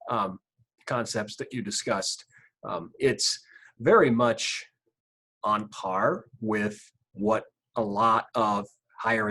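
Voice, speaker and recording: Male interview host, low husky voice, formal measured delivery. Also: fairly bright tone, poor audio. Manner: measured, formal wording with fillers. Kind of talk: interview questions and commentary.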